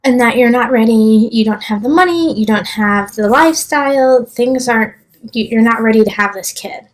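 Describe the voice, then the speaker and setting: High-voiced woman giving a tarot reading. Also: moderate pace, rough recording. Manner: emphatic, encouraging, addressing the listener directly. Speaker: tarot reader. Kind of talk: conversational tarot reading with advice.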